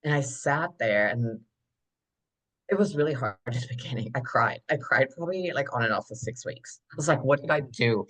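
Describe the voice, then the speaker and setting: A woman, a podcast guest telling her story in an animated, emphatic way, full of "like" fillers. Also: very deep voice, normal speed. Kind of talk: conversational interview storytelling.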